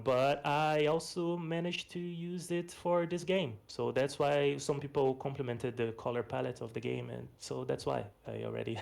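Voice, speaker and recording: A man whose first language is Portuguese talks through his game in a casual voice-chat presentation. His voice sits at an ordinary middle pitch. He speaks loosely, tacks "you know" onto his sentences, and laughs at himself now and then. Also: creaky, a little mellow. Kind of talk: casual post-mortem presentation. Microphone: voice chat microphone.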